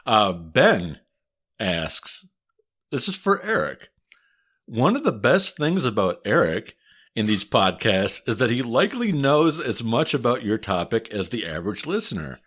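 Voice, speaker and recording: A man's voice: mid-range voice, sing-song, a little slow, fairly bright tone. A man with a Wisconsin accent, hosting a podcast in casual chat, thoughtful and a bit hesitant, with frequent restarts. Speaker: podcast host and historian.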